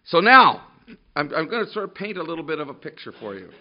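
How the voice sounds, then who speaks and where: A man preaching a sermon to a congregation, loud and emphatic, often restarting his sentences. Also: a little high, big pitch swings, quick pace. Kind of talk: sermon with scripture reading. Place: church room with a congregation. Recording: dull lo-fi sermon recording.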